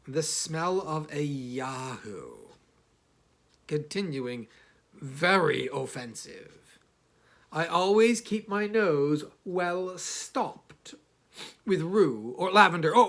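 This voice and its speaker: A man playing a haughty, misanthropic older traveller narrating his memoir, with halting, theatrical delivery and emphatic pauses. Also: a little high, fairly bright tone, full character voice. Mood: fastidious, aloof. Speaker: audiobook reader. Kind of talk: dramatic first-person literary narration.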